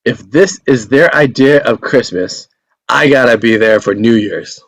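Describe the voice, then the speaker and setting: A man's voice: medium pitch, unhurried, creaky, poor audio. A man reading the part of a smug, pushy male TV reporter in a table read. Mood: wry.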